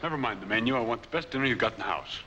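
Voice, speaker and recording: An actor playing a guarded, courteous drifter posing as a gentleman in a 1940s crime film. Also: medium pitch, animated, racing, lightly acted. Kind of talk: 1940s film noir acting. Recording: muffled, noisy 1940s film soundtrack.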